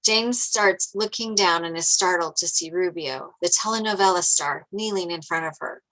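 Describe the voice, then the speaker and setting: A woman's voice: lowish voice, moderate pace, fairly bright tone, low-quality recording. A woman reading stage directions as narrator at a script table read.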